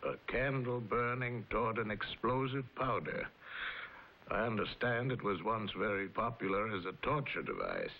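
An actor playing a wealthy, enigmatic male tycoon with a deliberate, philosophical manner in a 1950s television drama. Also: on the low side, sing-song, creaky, heavily acted. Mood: musing. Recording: muffled 1950s television soundtrack.

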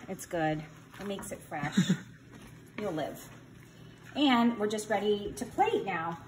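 A middle-aged woman chats her way through a cooking demo, teasing herself as she goes. She is recorded on a phone in her home kitchen. A pan sizzles clearly in the background. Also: fairly low pitch, background noise. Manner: chatty, joking, self-teasing. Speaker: home cook vlogger.

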